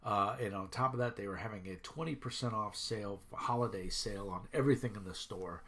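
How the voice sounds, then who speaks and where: A man's voice: fairly low pitch, fairly quick, fairly bright tone. A man in his thirties from Minnesota, stuffy with a head cold, rambling casually with many fillers as he reviews tabletop games for his channel.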